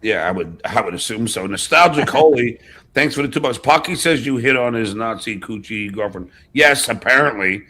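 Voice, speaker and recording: Bright-voiced, animated man hosting a stream. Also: medium pitch, normal speed. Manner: profane, blunt. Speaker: host of a livestream show. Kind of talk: casual chat.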